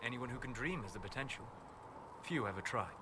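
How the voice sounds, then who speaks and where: An actor playing a calm, scholarly elven mage in a video game, with a measured, precise, philosophical manner. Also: male voice, medium pitch, noisy background, close to an everyday voice. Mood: earnest, probing. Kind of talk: video game dialogue acting.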